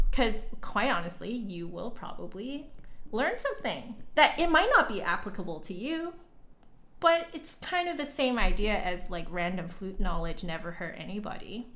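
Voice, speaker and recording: A woman's voice: lowish voice, sharp tone. A woman hosting a livestream, talking casually and reflectively with her chat in an informal, conversational way. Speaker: livestream host and flutist.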